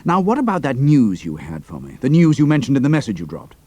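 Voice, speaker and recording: An actor playing a shrewd, cool-headed British pilot in an audio drama, speaking in a clipped, dry, understated manner. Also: male voice, mid-range voice, wildly swooping pitch, fast, a little mellow, breathy edge, no room sound. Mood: brisk, wry.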